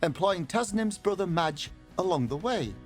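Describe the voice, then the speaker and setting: Chatty male TV presenter, higher voice. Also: lively intonation, normal speed, faint music behind. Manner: warm, chatty, asking questions. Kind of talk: TV presenting and narration.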